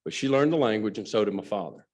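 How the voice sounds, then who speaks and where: Deep-voiced man giving a speech. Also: animated, fast, a little mellow. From Alabama, USA. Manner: earnest storytelling, addresses audience directly. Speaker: guest speaker, company president. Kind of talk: luncheon speech.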